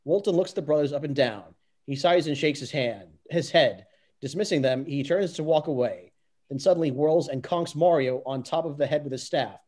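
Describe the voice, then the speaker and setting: Higher-voiced man narrating a script, stumbling. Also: moderate pace, a little mellow. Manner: stumbles and restarts over the text. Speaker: reader of stage directions. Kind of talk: amateur script narration, read aloud.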